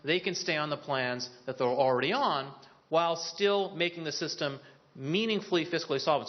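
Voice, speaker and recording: Man explaining policy, dull lo-fi sound. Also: fairly high pitch. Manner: explanatory, poses questions then answers them; occasional restarts and filler pauses. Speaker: policy speaker on a briefing panel. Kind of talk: policy presentation to an audience. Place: briefing room.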